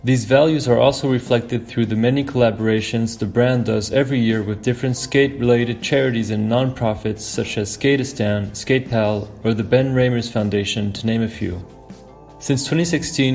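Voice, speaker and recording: A man's voice: mid-range voice, a little mellow. A man hosting a podcast, reading a scripted intro and then chatting with short, encouraging replies. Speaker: podcast host.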